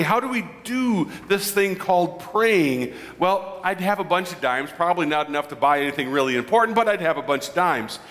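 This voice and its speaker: A man in his forties preaching a conversational sermon as a pastor, animated and joking with his congregation. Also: sits high. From Midwestern United States. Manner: animated, gestures broadly, jokes with the congregation. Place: large church sanctuary. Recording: long church reverb.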